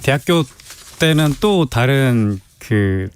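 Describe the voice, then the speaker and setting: A man's voice: mid-range voice, animated, slow. A young man in his twenties from North Korea with a North Korean accent, answering interview questions politely and a bit hesitantly, often laughing lightly. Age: 20s. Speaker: guest. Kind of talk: radio interview. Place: radio studio.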